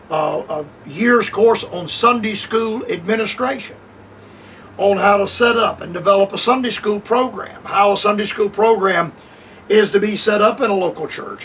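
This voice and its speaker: An older man teaches scripture on a radio broadcast in a high, earnest voice. He talks in measured phrases, repeating words and pausing on uh. The mic sits a little far off, with faint hiss behind him. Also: a bit bright. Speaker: radio preacher.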